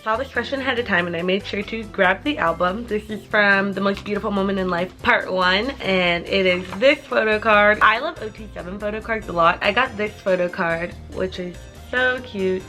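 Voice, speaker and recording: A woman's voice: medium pitch, moderate pace, few pauses, pushed and bright, faint music behind. A woman in her twenties answering viewer questions to her camera in a casual, rambling way, full of "like" fillers. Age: 20s. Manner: chatty, rambling with many "like" fillers. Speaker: vlogger.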